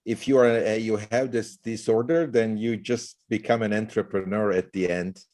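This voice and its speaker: A middle-aged man from Turkey talks as a guest, his voice sitting fairly low. He rambles loosely, with lots of "you know" and "like" between thoughts. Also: steady tone, moderate pace, darkish tone. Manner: loose, rambling with many fillers. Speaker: guest, startup CEO. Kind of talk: casual interview talk.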